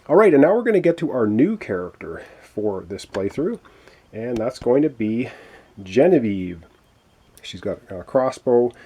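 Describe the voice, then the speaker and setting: A man's voice: fairly low pitch, mellow. A man explaining a board game playthrough in a chatty, explanatory way, with many fillers, while losing his voice. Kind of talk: casual tabletop game walkthrough.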